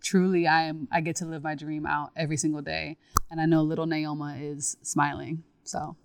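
A woman talking casually as an interviewed singer, expressive and reflective, with emphatic moments. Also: deep voice, moderate pace, creaky, noisy background.

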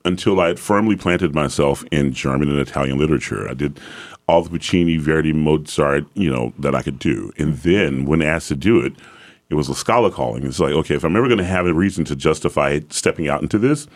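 A middle-aged man with a very deep bass voice, an opera singer talking conversationally as an interview guest, telling stories with emphatic repetition. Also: a little fast. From Atlanta, Georgia, southern US.

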